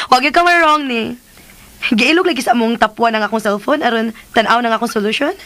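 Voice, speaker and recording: A woman's voice: on the high side, moderate pace, a bit bright, no echo, lightly acted. A woman acting in a radio drama as an affectionate, teasing young wife with a playful manner.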